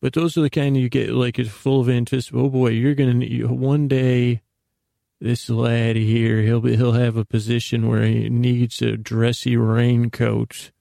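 A middle-aged man hosting a bedtime podcast in a soothing, friendly, lulling tone, rambling and halting with a gravelly, creaky voice. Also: mid-range voice, level tone, mellow, no echo, studio quality. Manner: meandering, halting, repeats and trails off. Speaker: podcast host. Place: small closet full of clothes, indoors.

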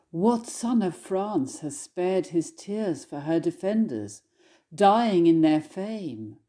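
A woman's voice: on the low side, warm tone. A woman scholar giving a literary introduction and poetry reading in an articulate manner.

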